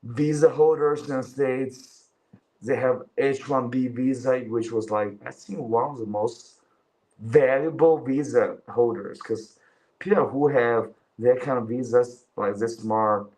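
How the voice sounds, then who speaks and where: Slow-talking young Chinese man streaming. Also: medium pitch, darkish tone, rough recording. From China. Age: 20s. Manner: loose and swearing, calls listeners bro; mocking impressions in a raised voice. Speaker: livestream commentator. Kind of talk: casual livestream chat.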